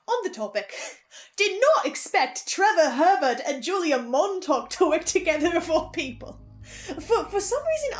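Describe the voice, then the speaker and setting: A woman host giving an informal fan recap and theory commentary, with animated asides that interrupt her own train of thought. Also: high-pitched. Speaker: host, first-time listener reviewer.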